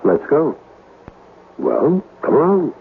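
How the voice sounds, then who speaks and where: An actor playing a bold, questioning, stubborn ghost hunter in a radio drama. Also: male voice, fairly high pitch, sing-song, very slow, vocal fry, exaggerated voice. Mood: bold, uneasy. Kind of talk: 1970s radio drama acting. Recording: thin phone-like old radio recording, hiss.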